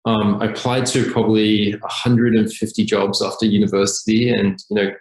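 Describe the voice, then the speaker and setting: A man from Australia speaks as a podcast guest over a remote line, relaxed and unhurried, padding his thoughts with ums. His voice sits low with a gravelly edge. The room around him is echoey. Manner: relaxed, many filler ums. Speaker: podcast guest, company founder. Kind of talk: casual interview talk.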